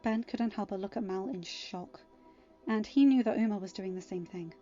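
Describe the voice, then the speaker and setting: A woman reading scripted fiction as an expressive storyteller. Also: mid-range voice, moderate pace. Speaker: fan fiction narrator. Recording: dull lo-fi audio. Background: faint music.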